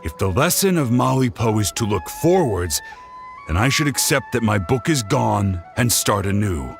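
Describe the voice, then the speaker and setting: A man's voice: lowish voice, very animated, normal speed, gravelly. A man voicing an earnest, reflective village scribe in a video game. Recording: game audio over music.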